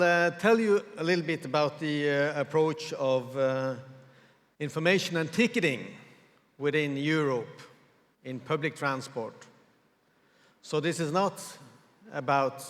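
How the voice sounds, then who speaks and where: A man from Norway gives a formal conference presentation in English, measured and pausing often to find his words. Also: on the high side, a bit bright. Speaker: conference speaker. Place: large conference hall. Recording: reverberant hall with long echo.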